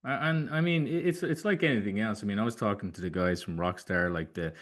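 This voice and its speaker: A man's voice: fairly low pitch, quick pace. A man hosting a podcast, chatting casually and putting his points in an informal, rambling way. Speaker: podcast host. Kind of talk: casual interview chat.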